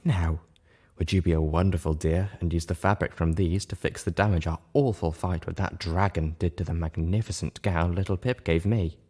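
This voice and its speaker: A man's voice: low-pitched, steady tone, moderate pace, a little mellow. Man voicing an elegant, gracious, assertive female pony. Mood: coaxing. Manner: demure, gracious, charming, assertive. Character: Velvet Remedy, elegant coaxing female pony. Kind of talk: character dialogue in a fan fiction reading.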